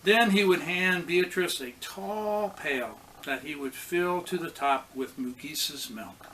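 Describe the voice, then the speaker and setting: A man's voice: on the high side, slow pace, fairly bright tone, slight background noise, low-quality recording. A man reading a storybook aloud to children as a pastor, expressively acting out the characters' lines.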